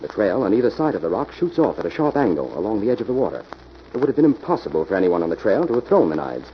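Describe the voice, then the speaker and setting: An American actor playing a confident, commanding detective who explains things methodically in an old-time radio mystery. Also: male voice, lowish voice, big pitch swings, racing. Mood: calm, reflective. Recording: dull, noisy vintage radio recording.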